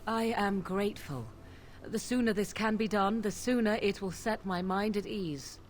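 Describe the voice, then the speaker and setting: Aloof young witch, voiced by a woman, formal phrasing, measured delivery, game dialogue, faint background hiss. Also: medium pitch, fairly even intonation, natural voice. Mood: cool.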